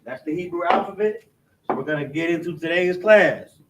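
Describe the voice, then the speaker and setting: Male instructor, slightly higher voice, halting informal classroom delivery. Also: fairly bright tone, poor audio. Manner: loose and repetitive, stumbles and restarts, keeps asking "you know what I'm saying"; explains slowly, step by step. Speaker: religious language instructor.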